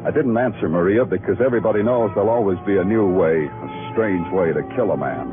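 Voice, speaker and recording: An actor playing a wry, hard-boiled police detective with terse, sardonic delivery in a 1950s radio crime drama. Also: male voice, low-pitched, quick pace, over music, close to an everyday voice. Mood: brooding narration. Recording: dull lo-fi old radio broadcast.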